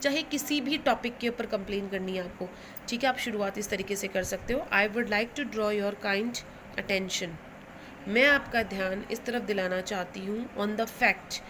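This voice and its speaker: Indian woman teacher over loud background noise. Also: medium pitch, a little slow. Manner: instructive, repeats and translates phrases, frequent check-in tags. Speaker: English teacher for school students. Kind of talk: explanatory lesson mixing Hindi with English phrases.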